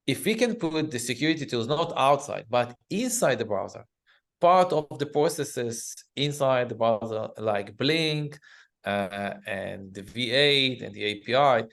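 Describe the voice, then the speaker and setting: A middle-aged man from Israel with an Israeli accent, answering interview questions in an office with frequent pauses and fillers. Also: mid-range voice, fairly bright tone. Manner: halting, many "uh" fillers, non-native phrasing. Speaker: guest, cybersecurity company CEO.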